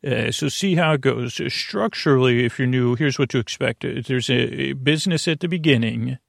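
A man hosting a sleep podcast, rambling in a halting, meandering way that circles back and trails off, with a creaky voice. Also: medium pitch, no echo, studio quality. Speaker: podcast host.

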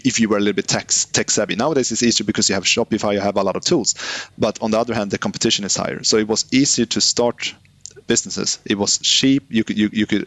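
Halting, low-voiced man in an interview. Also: dry recording. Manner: halting, many restarts and repeated words. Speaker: guest, online business founder. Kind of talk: casual interview talk.

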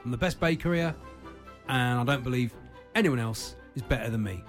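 A man voicing a boastful, self-obsessed baking contestant who brags loudly and repeats himself. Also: mid-range voice, a little fast, soft background music, close to an everyday voice. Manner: bragging, repetitive, loud.

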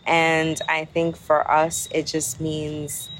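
Slow, low-voiced woman from Barbados. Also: monotone, a little hiss or hum. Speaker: farm restaurant co-owner. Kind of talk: interview guest, casual chat.

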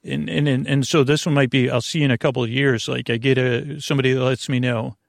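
Man, gravelly fry, dry close recording, meandering podcast host, hesitant, goofy bedtime rambling. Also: medium pitch, steady tone, fast talker, no echo. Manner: meandering, hesitant, goofy, circles back on himself.

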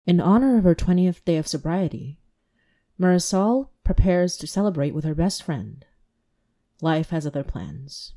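A woman's voice: lowish voice, expressive, moderate pace, warm tone. A woman podcast host reading a scripted introduction to an episode.

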